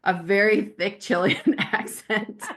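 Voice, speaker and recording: A woman's voice: mid-range voice, steady tone, takes it slowly, a bit bright, slight background noise. A woman guest on a podcast, chatting casually about farm life, laughing often and trailing off mid-sentence. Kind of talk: casual chat.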